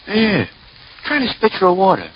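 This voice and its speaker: An actor playing a sardonic, mockingly polite gangster boss with drawling hesitations in an old radio crime drama. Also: male voice, sits high, huge pitch swings. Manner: mock-courteous, wisecracking, drawn-out hesitations. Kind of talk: 1940s-50s radio crime drama acting. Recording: muffled, noisy old radio recording.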